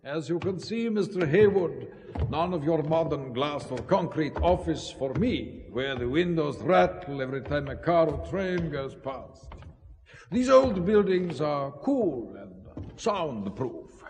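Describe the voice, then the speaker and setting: A polished, dangerous Italian count who deals in shady art. His voice sits fairly high and moves in big swings of pitch. He speaks with urbane, guarded deliberation, as in a spy thriller radio play. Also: lively intonation, slow, darkish tone, a little hiss or hum. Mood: smooth politeness. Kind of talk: spy thriller audio drama acting.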